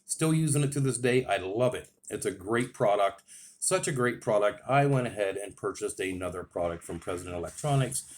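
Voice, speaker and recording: A man's voice: on the low side, animated, fairly quick, darkish tone. An American man giving a casual, enthusiastic and plain-spoken product review as a radio hobbyist.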